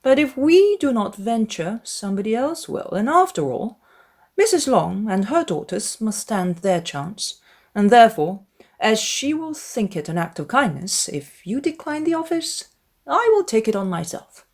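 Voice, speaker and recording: A woman's voice: a little high, big pitch swings, darkish tone, voice pushed up, full character voice. A dry-witted middle-aged father of a genteel household as a woman reader plays him. He speaks formally and with mock gravity. His intonation moves about freely as he teases. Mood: dry, teasing. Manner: measured, mock-solemn, formal. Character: wry, teasing middle-aged gentleman father.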